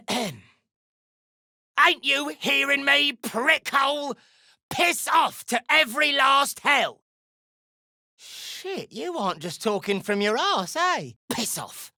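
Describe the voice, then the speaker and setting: A woman playing a foul-mouthed, defiant gnome in a video game, with a brash, gruff and sardonic delivery. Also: high-pitched, sing-song, takes it slowly, long pauses, bright, forceful tone, no room sound, clean studio sound, heavily acted. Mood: furious.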